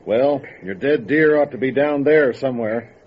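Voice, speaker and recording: A man playing a gruff, stubborn, guarded mountain recluse in an old-time radio drama. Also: mid-range voice, moderate pace, close to an everyday voice. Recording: dull lo-fi radio drama recording, faint noise.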